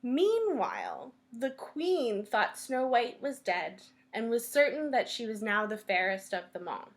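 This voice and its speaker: Slow, animated woman reading a fairytale. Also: fairly high pitch. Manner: deliberate phrasing with frequent pauses. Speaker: storyteller at a library. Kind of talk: children's fairytale read-aloud.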